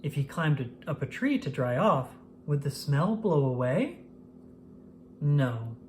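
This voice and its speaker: Lively, expressive children's storybook narrator. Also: medium pitch, takes it slowly, a little hiss or hum. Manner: expressive, acts out exclamations and dialogue. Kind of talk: scripted storybook read-aloud.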